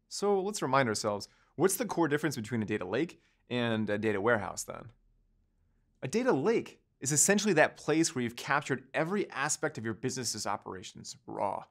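A man in his thirties delivers a scripted lecture for an online course, standing in a studio and talking with his hands. His voice sits at an ordinary middle pitch for a man but moves up and down a great deal. He explains every point in steady, clear steps. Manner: explanatory, gestures with both hands while talking. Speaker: course instructor.

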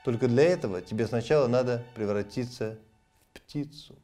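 Man as a wise magic spring, kindly, calm, matter-of-fact, mellow, children's storybook. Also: mid-range voice, fairly quick, faint music behind, lightly acted. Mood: advising.

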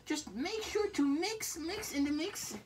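A high-voiced performer voicing an elderly, muddled grandma teddy bear who gives halting cooking instructions in an amateur puppet skit. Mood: cheerfully instructive. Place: small bedroom, indoors. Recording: noisy home recording with clicks.

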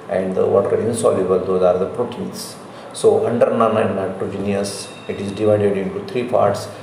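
A middle-aged man with an Indian accent giving a slide lecture as a college professor, speaking in halting, repetitive phrases. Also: fairly low pitch, creaky, mellow. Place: indoor room, recorded lecture. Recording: very echoey room, faint noise.

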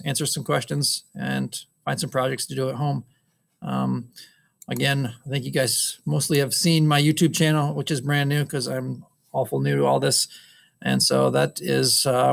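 Middle-aged male woodshop teacher, halting webcam update to students, slightly high, gravelly voice. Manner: halting, many ums and uhs. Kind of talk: casual recorded class update. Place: school wood shop. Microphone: webcam on a screen recording.

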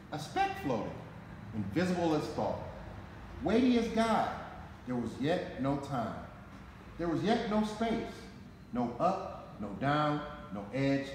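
American man reading dramatically in an echoey hall. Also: fairly high pitch. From Detroit, United States. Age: 40s. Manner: dramatic, deliberate phrasing with emphatic repetition. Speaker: firefighter reading a picture book aloud. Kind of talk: expressive children's story read-aloud. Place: concrete-floored fire station garage, indoors. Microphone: camera a short distance away. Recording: echoey hall, noticeable background noise.

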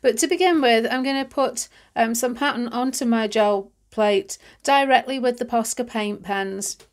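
A woman with a stuffy, nasal, congested voice talks through a hands-on craft demonstration. She is relaxed and chatty, pausing with ums as she explains each step. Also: fairly high pitch. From United Kingdom. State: nasal and congested from hay fever. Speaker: craft tutorial host. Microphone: overhead camera on hands, voice-over.

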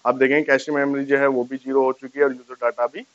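A man's voice: mid-range voice, level tone, fast talker, no echo, highs cut off. A man gives a casual step-by-step tutorial to camera, explaining and repeating each step. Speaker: tech tutorial host. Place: small furnished room indoors, on a sofa. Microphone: lapel mic.

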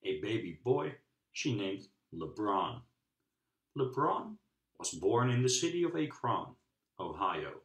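Animated man reading a children's book, roomy sound. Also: fairly low pitch, slow pace. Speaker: storybook reader. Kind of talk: children's book read-aloud narration.

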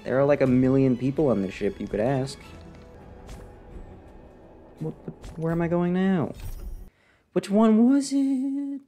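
A young man streaming a video game from a close desk mic, giving casual, sarcastic, indifferent commentary in a deadpan way. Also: on the high side, measured pace, pauses often. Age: young adult. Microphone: desk microphone close to the face. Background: game music.

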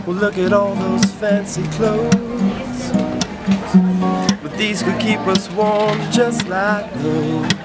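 Young guy singing slow with an acoustic guitar, outdoors, guitar loud in the mix. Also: high voice, steady tone. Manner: sings own song over strummed guitar. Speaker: singer auditioning. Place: outdoors in a leafy garden.

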